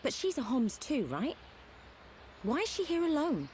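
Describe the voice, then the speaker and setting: A woman's voice: high-pitched, wildly swooping pitch, moderate pace, a little mellow, a little hiss or hum. An actress playing a direct, inquisitive young female companion in a video game. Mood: curious, questioning. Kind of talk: video game cutscene acting.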